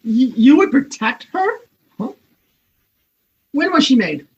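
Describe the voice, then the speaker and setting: A male actor playing a weary, distraught old man in a radio drama, halting and husky. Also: very high-pitched, very animated, muffled recording, exaggerated voice. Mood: probing. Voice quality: gravelly, husky. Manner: halting, dramatic, muttering then crying out.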